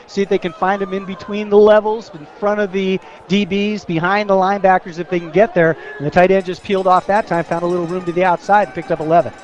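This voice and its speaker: A man's voice: high-pitched, dull audio. A man giving live football color commentary on the radio, recapping plays in a colloquial, colorful way. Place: outdoor football stadium broadcast.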